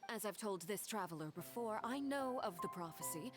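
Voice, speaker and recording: A woman acting a calm, formal female agent of a powerful organization in a video game, over music. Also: medium pitch, brisk, natural voice. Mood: cool, sincere. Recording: music behind the voice.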